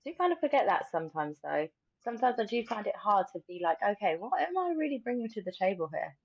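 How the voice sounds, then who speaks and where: A woman's voice: mid-range voice, big pitch swings, fast talker, gravelly, no room sound, highs cut off. A woman hosting a casual podcast conversation, speaking in an informal, reflective way. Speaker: podcast host.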